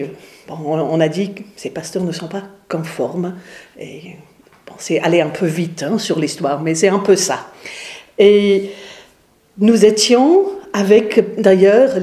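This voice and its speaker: Middle-aged English-accented woman pastor, hesitant talk, lowish voice, roomy echo. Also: mellow. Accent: English, with some Swiss. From England. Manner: hesitant, many fillers and restarts. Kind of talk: informal lecture to a group.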